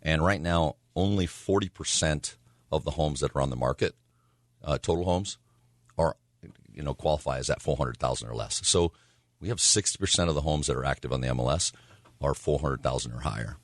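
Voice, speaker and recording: A man with a hoarse, raspy voice, talking casually as a real estate agent on a radio show, rambling with lots of fillers. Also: a bass voice, a little fast, no echo, clean studio sound. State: hoarse from yelling.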